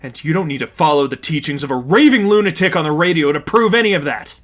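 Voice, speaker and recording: A man's voice: on the high side, animated, quick pace, fairly bright tone, voice pushed up. A man voicing an angry, argumentative young woman, an impassioned and condescending friend, in a dramatized story reading. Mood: impassioned, pleading. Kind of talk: dramatized fan fiction reading.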